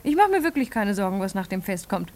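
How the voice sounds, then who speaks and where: An actress playing an ambitious, stubborn young actress in a 1950s radio play, flippant, coaxing and self-assured. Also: female voice, mid-range voice, very animated, quick pace, darkish tone, lightly acted. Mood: confident, coaxing. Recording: 1950s radio drama recording.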